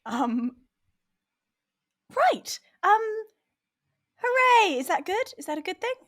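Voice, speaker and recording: A woman's voice: very high voice, sing-song, slow, a bit bright. A woman playing a tabletop role-playing game, talking hesitantly with a wondering tone. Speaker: player.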